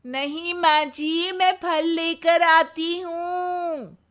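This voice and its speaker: A woman playing a dutiful young daughter-in-law who politely protests in a comic animated Hindi story. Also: very high-pitched, drawn-out words, full character voice. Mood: flustered.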